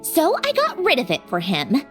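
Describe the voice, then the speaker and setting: A woman's voice: sits high, huge pitch swings, moderate pace. A woman voicing a wistful, knowing female character in video game dialogue. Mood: wistful, nostalgic. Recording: faint music behind the voice.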